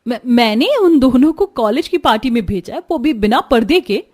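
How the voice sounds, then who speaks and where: A woman voicing a spirited young daughter-in-law, expressive and pleading, in a cartoon story. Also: a little high, normal speed. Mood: pleading, defiant. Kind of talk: Hindi cartoon story voice acting.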